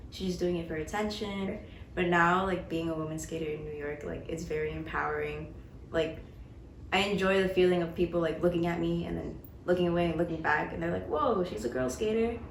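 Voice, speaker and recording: An eighteen-year-old woman talking casually about skating, with lots of "like" fillers. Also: on the low side. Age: young adult. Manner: relaxed, many "like" fillers. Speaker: skater. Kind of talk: casual interview answers. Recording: echoey room with background noise.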